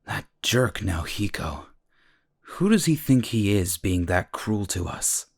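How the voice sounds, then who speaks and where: A man voicing an earnest, caring, easily exasperated young protagonist in an anime-style audio drama, slightly husky. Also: lowish voice, big pitch swings, slow, dry recording, clean studio sound, heavily acted. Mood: indignant.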